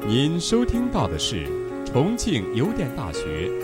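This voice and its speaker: A man's voice: mid-range voice, wildly swooping pitch, measured pace. A man giving a formal station announcement as a campus radio announcer. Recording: loud music under the voice.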